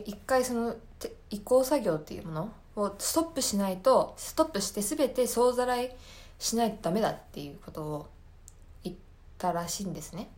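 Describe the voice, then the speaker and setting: A woman's voice: mid-range voice, darkish tone. A woman hosting a podcast, talking casually about her own life in a hesitant, meandering, self-deprecating way. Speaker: podcast host.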